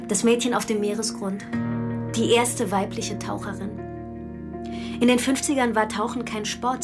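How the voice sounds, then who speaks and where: Actress playing sardonic young woman, mid pitch, dry wry radio play diary voice, music going behind her. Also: lightly acted. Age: young adult. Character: ambitious, sardonic young actress, self-willed. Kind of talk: radio drama dialogue and diary monologue.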